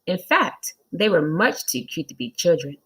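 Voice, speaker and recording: A woman narrating a children's storybook expressively, in the deliberate, instructive manner of a teacher. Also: fairly low pitch, big pitch swings, fairly bright tone, breathy edge. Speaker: read-aloud teacher.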